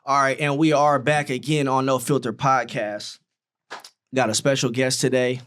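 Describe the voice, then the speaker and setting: A man's voice: medium pitch, level tone, normal speed, fairly bright tone, clear, resonant tone. Laid-back slangy male podcast host. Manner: laid-back, slangy, lots of "you know what I'm saying". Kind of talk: casual interview chat.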